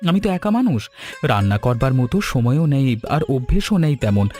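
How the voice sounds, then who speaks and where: A man playing a polite young office worker new in town, in an animated storybook cartoon, speaking a little plaintively. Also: mid-range voice, a little fast, close to an everyday voice. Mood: troubled, asking. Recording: music bed under the voice.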